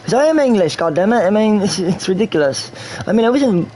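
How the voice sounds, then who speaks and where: A man's voice: high voice, animated, a little fast, mellow, slight background noise. A man from New York rambling through casual game stream commentary, repeating himself and slipping in and out of a put-on English accent. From New York, USA.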